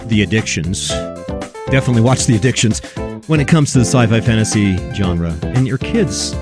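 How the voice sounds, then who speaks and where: Animated, emphatic male commentator. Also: on the low side, moderate pace, music in the background. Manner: emphatic, preachy, repeats phrases for effect. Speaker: host. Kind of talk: religious commentary on film and culture.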